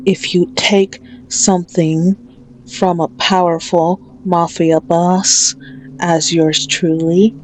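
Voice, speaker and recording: Woman playing a slow, menacing female mafia boss. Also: lowish voice, flat delivery, a little hiss or hum, low-quality recording. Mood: cold, vengeful. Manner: slow, mocking, condescending, possessive. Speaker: ASMR roleplay performer. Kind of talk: ASMR roleplay acting.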